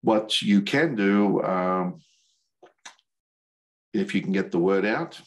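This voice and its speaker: An older man with an American accent giving an informal slide presentation in a hesitant, halting way. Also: low voice. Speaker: volunteer instructor, radio operator. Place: video call.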